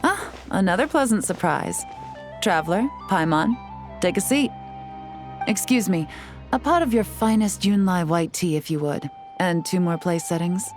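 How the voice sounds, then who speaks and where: Woman playing a composed female spy, lowish voice, calm measured delivery, soft game music. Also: lightly acted. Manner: calm, measured, dryly explanatory. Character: cool, composed female intelligence agent. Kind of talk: video game voice acting.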